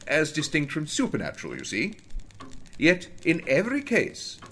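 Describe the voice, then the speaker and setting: A gentleman investigator of hauntings from an Edwardian ghost story. His phrasing is formal, measured and ominous. His pitch sits in a middle register but rises and falls very dramatically, with faint noise and clicks behind him. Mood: ominous, uneasy.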